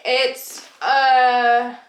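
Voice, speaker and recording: A woman's voice: a little high, completely flat delivery, extremely slow, a bit bright. Stuffy-nosed woman chatting in an echoey room. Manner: chatty, rambling, with laughs and fillers. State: congested, stuffy nose. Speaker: book vlogger. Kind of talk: casual reading vlog chat. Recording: echoey room with faint noise.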